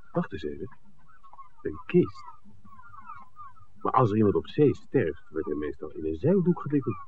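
Observant man, very animated intonation. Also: fairly low pitch. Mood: excited, curious. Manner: conversational, alert, speculating. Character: observant male film-maker, discreet outsider in village. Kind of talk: 1960s radio play acting. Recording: tinny, hissy 1960s radio recording.

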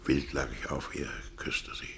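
A man's voice: rumbling low, completely flat delivery, measured pace, bright, forceful tone, in a whisper, exaggerated voice. An actor playing a sensual, rueful middle-aged man in a radio drama, murmuring and savoring his words. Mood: ardent, nostalgic.

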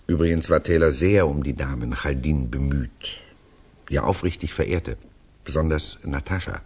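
An actor playing an urbane writer who recounts a tale to a friend in a measured, reflective way, in a 1960s German radio drama. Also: male voice, extremely low pitch, breathy edge, heavily acted.

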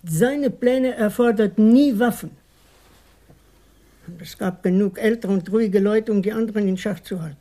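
A woman's voice: mid-range voice, moderate pace, dark tone, natural voice. A woman playing a nervous, evasive small-time police informant in a German radio crime drama, hesitating and repeating words defensively. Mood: explaining, agitated.

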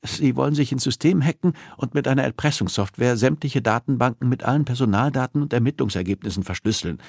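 A man's voice: mid-range voice, fast, creaky, a little mellow, husky, no room sound, studio quality, voice pushed up. A man narrating an audiobook, voicing a captive female homicide detective who is defensive, stubborn and strained under interrogation. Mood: wary, probing.

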